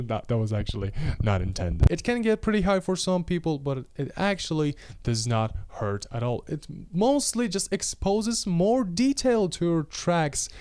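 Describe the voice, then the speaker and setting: A young man, reviewing a gadget to camera in a casual, animated, self-mocking way, holding a handheld mic in a small room at home. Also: sits high, no echo. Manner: chatty, animated, self-mocking, gestures while talking. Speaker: tech reviewer. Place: small home room with audio gear, indoors.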